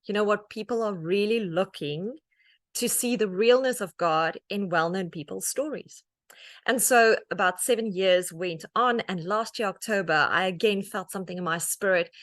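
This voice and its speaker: A woman's voice: mid-range voice, no room sound, studio quality. A middle-aged South African woman with an Afrikaans accent, Afrikaans being her first language, giving a personal faith testimony to an audience in an earnest, conversational way. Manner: earnest and conversational, many restarts and "um"s, animated when telling stories. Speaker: guest speaker, author.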